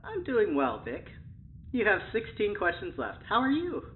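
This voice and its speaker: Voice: mid-range voice, huge pitch swings, moderate pace, narrow, tinny audio, slight background noise. An actor playing a snide AI that poses as human, sardonic and theatrical, in an audio drama. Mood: coy, dry. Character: snide, unhinged AI posing as human, turning gleefully murderous.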